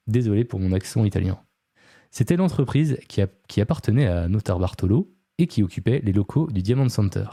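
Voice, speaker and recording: Dark-voiced man telling a story casually. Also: lowish voice, dry recording, studio quality. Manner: relaxed, chatty, frequent fillers and asides to a co-host. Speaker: podcast host. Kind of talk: casual true-crime storytelling.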